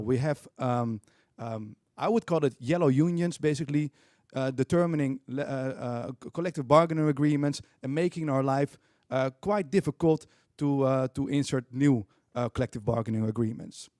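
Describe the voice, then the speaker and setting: A man, a union organizer speaking on a panel in an earnest, halting way with many fillers. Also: mid-range voice, mellow, no room sound, clean studio sound. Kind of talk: panel talk.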